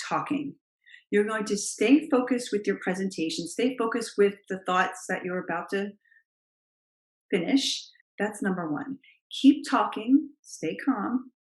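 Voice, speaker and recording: A middle-aged woman with a naturally low voice speaks in an emphatic, expressive coaching manner, addressing the camera directly. Her pitch is moderate for a woman. The room around her is noticeably echoey. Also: measured pace. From Italian heritage. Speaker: confidence coach. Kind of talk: direct-to-camera advice vlog. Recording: roomy, echoey room sound.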